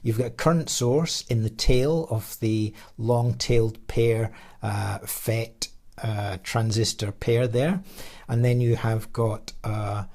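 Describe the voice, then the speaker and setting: A man narrating a technical slide presentation as an engineer, in a measured, hesitant manner with pauses in the middle of phrases. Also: mid-range voice, steady tone, a little mellow. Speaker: radio design engineer presenting his product.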